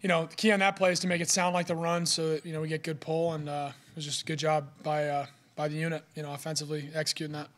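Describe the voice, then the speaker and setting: A young man, a college quarterback, answering reporters' questions at a postgame press conference, filling his answers with "you know.". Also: a little high. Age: young adult. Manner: steady, fast, filler-heavy with "you know". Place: press room in front of a sponsor backdrop. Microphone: podium mic.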